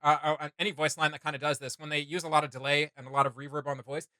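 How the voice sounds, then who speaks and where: A man's voice: a little high, level tone, racing, sharp tone, no echo, clean studio sound. A man co-hosting a reaction video, chatting casually in a rambling, enthusiastic way about what he likes. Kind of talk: casual opinion chat with co-host.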